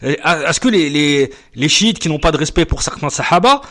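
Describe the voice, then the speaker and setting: A man's voice: on the high side, expressive, normal speed, a bit bright. A man hosting a podcast interview, hesitant with many fillers, pressing his guest with questions. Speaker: podcast host.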